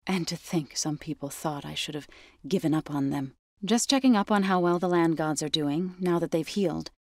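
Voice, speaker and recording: Low-pitched, earnest warrior woman. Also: moderate pace, a little mellow, no room sound, studio quality. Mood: subdued, grave. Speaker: video game character. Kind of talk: scripted video game dialogue.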